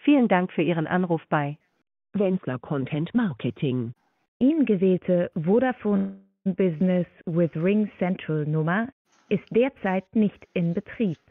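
A woman's recorded phone-menu announcement, formal and even. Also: fairly low pitch, unhurried, no room sound. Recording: tinny phone line.

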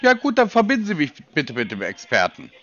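A man with a somewhat high voice takes a sales call as the doubting customer. His intonation moves up and down a lot as he picks at details and interrupts. The sound is slightly dull, like a lo-fi call recording. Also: wildly swooping pitch, fairly quick, fairly bright tone, faint music behind. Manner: pedantic, sceptical, interrupting. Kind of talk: sceptical questioning in a phone conversation. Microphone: phone call. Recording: dull, lo-fi phone call audio.

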